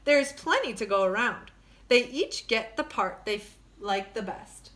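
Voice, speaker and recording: Animated woman reading to children, echoey room. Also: a little high, a little slow. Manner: expressive, simple phrasing aimed at young children. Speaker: teacher reading a story to children. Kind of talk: children's storybook read-aloud.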